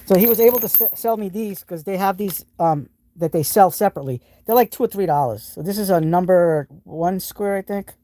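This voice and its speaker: A man with a New England accent talks through his tools on a live stream, excited and rambling, often restarting his sentences. His voice is mellow and warm in tone, recorded close and dry, with little room sound around it. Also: sits high, brisk, few pauses, no echo. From New England, United States. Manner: enthusiastic, rambling with frequent restarts. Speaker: host of a live tool stream. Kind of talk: casual live stream, showing tools and answering chat.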